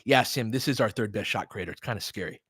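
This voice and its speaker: Bright-voiced man calling basketball like a fan. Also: on the low side, fast talker, slightly rough, dry recording, clean studio sound. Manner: partisan fan calling plays in short clipped phrases, explaining conversationally. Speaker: host and play-by-play commentator. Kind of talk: live audio basketball commentary with casual tactical analysis.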